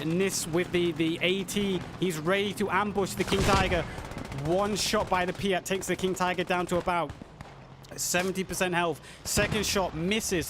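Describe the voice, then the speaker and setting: Male live-match caster, voice going hoarse, pitched a bit high, light background noise. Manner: excited, builds to high pitch on big plays. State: losing his voice. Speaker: esports caster. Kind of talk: live game commentary.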